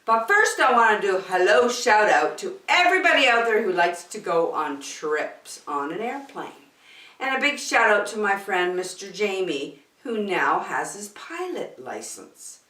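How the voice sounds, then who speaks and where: Animated woman reading a storybook aloud. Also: medium pitch, measured pace. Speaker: storytime host reading a children's book. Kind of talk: expressive storybook read-aloud for young children. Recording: echoey room.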